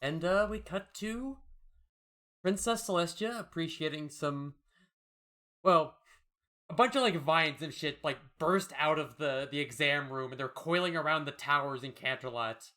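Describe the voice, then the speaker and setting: A male co-host describes a comic in casual chat. His voice is bright and forward, slightly high for a man, and he talks in a loose, halting, agreeable way.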